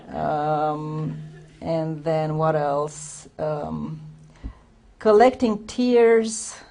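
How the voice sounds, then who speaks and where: Woman poet, low voice, reflective reading of her own prose poems with halting explanations. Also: warm tone. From Romania. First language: Romanian. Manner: unhurried, reflective, explains between poems with hesitant asides. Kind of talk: poetry reading with spoken commentary.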